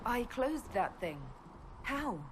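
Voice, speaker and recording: A woman's voice: on the high side, very animated, slow, a little hiss or hum. An actress playing a defiant, self-possessed young female elf mage held prisoner in a video game. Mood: questioning. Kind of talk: dramatic video game acting.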